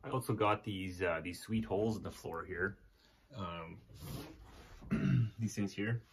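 A man's voice: deep voice, takes it slowly, breathy edge. A young man giving a casual, rambling walkthrough of a small wooden shack, filmed on a handheld phone held at arm's length. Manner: relaxed, rambling, frequent restarts and fillers. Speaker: vlogger. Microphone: handheld phone camera at arm's length.

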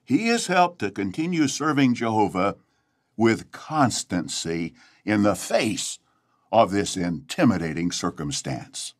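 A man presents a scripted religious talk. His voice is lowish and highly animated, with emphatic, deliberate delivery. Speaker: speaker introducing a drama.

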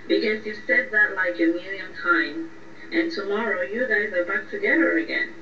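A woman's voice: mid-range voice, normal speed, pushed and bright, lightly acted. A woman playing a blunt, sarcastic young best friend in a radio drama, teasing and exasperated. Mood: sceptical. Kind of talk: radio drama phone conversation. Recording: muffled, echoey, distant, faint noise.

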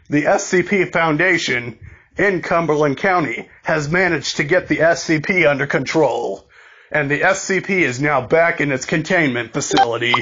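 Male alert announcer, slightly high voice, formal and deliberate with stumbles, muffled lo-fi sound. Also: fairly bright tone, breathy edge. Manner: formal, deliberate, halting with repeated words. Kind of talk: scripted emergency broadcast announcement, amateur reading.

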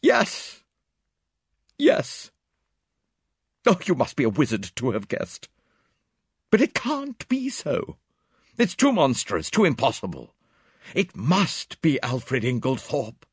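A man's voice: mid-range voice, wildly swooping pitch, takes it slowly, long pauses, slightly rough, no echo, clean studio sound, voice pushed up, exaggerated voice. A man voicing a blunt, vehement Englishwoman with an emphatic, exclamatory manner in a storybook reading. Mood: distraught. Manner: emphatic, exclamatory, sharp-tongued. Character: blunt, vehement, agitated Englishwoman, fiercely indignant. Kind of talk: audiobook character dialogue.

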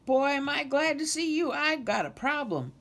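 A naive young male storybook animal acted out by a woman, full of eagerness. The voice runs high and moves up and down freely. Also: very animated, moderate pace, a bit bright, exaggerated voice. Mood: eager.

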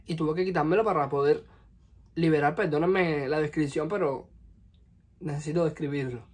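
A young man in his twenties from Cuba, speaking with a Cuban Spanish accent, telling a personal story to the camera in his bedroom with lively, expressive delivery. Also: fairly high pitch, moderate pace. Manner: animated, expressive, gesturing with hands. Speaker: vlogger. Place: small bedroom, indoors. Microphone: camera in front at close range.

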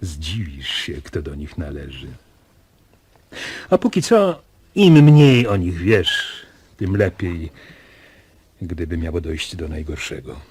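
An actor playing a grave, protective father in a radio drama, earnest and deliberate, with a husky voice. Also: deep voice, sing-song, darkish tone, exaggerated voice. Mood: grave, warning. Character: protective, grave father keeping a family secret.

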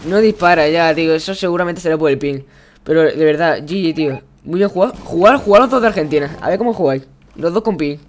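A man's voice: fairly high pitch, quick pace. A young man with an accent from Spain chats casually and encouragingly in slang as he hosts a live game stream. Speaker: game streamer, host of one-on-one matches. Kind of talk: casual live gameplay chat. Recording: clear background noise, keyboard clicks.

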